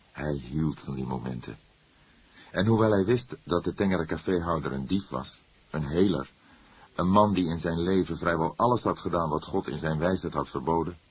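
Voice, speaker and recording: Male narrator for fiction audiobook. Very low, slightly rough voice, measured scripted storytelling.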